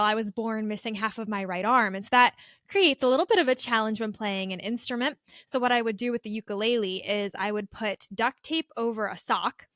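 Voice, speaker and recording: High-voiced woman co-hosting a podcast. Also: fairly bright tone, no room sound, clean studio sound. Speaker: podcast co-host. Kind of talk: friendly podcast conversation.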